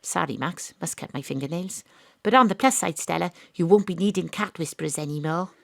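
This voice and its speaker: A woman's voice: lowish voice, expressive, fairly quick, creaky, fairly bright tone, no room sound, studio quality, exaggerated voice. An actress playing a wry, self-regarding detective, telling her own story in an audio drama. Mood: smug, relaxed. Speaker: actor narrating an audio drama. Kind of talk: first-person dramatic narration.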